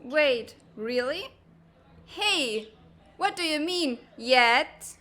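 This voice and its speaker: A woman voicing a brash, hot-tempered teenage girl in a comic dub, blustery, bossy and quick to get defensive. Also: sits high, animated, bright, forceful tone, heavily acted. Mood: menacing, needling. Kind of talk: animated comic-dub voice acting.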